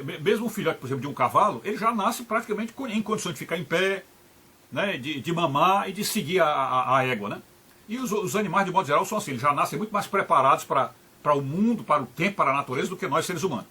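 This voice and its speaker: Husky, lively older Brazilian man lecturing. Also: a little high. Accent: Brazilian Portuguese. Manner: halting and repetitive, often adds tag questions. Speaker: video lecturer. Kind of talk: unscripted spoken lecture.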